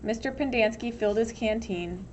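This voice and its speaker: A woman's voice: a little high, normal speed, darkish tone, a little hiss or hum. A woman reads a children's novel aloud as scripted storybook narration. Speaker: teacher reading aloud.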